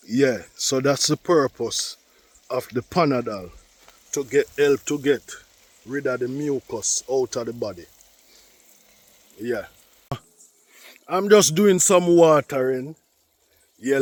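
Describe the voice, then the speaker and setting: A man with a Jamaican accent chatting casually to viewers as a gardening vlogger, unhurried and repetitive, slightly congested with a cold. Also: mid-range voice, no echo. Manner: unhurried, repetitive, with frequent "yeah" and "you know". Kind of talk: casual vlog talk to viewers. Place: outdoors in a garden.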